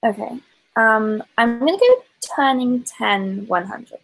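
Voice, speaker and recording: A woman's voice: on the high side, big pitch swings, takes it slowly, poor audio. A woman giving casual, tentative answers as a quiz contestant.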